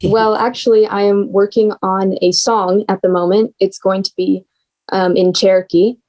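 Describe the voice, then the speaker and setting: Young woman, mellow voice. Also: medium pitch, little pitch movement, moderate pace, poor audio. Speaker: young scriptwriter guest. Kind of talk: casual chat.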